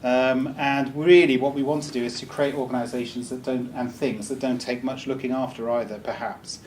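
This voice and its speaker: A man's voice: medium pitch, level tone, normal speed, darkish tone. A man from Wales, giving a chatty, joking talk to a live audience with many ums and restarts. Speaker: speaker. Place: meeting room. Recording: distant mic with faint room noise.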